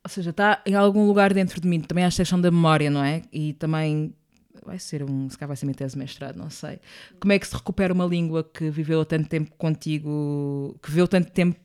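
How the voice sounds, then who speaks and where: A woman's voice: low-pitched, steady tone, fast talker, a little mellow, clear, resonant tone. A young woman from Angola, speaking as an interview guest, thoughtful, often circling back and adding small tag questions. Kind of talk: reflective interview conversation.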